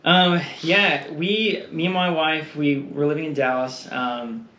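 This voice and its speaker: A man's voice: on the high side, fairly even intonation, a little slow, sharp tone. A man from Texas, a guest in a casual interview, telling stories in a rambling way with many fillers and frequent laughs. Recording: echoey room with faint noise.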